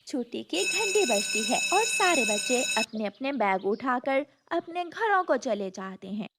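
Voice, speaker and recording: A woman's voice: a little high, very animated, a little slow, very noisy. A woman narrating a scripted children's cartoon story.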